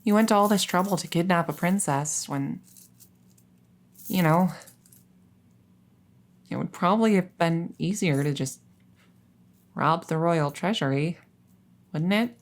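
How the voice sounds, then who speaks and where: A woman playing a coy, flustered young crown princess in an intimate audio roleplay, speaking in a halting, stammering, nervous way. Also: lowish voice. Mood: curious, coy. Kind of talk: intimate audio roleplay acting addressed to the listener.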